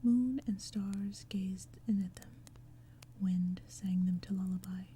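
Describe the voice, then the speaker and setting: Very soft, dark whispering woman. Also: medium pitch, steady tone. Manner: gentle, measured, hushed storytelling. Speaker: audiobook reader. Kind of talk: ASMR whispered poetry reading. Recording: faint ambient crackling fire and forest sounds. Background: crackling fire, forest ambience.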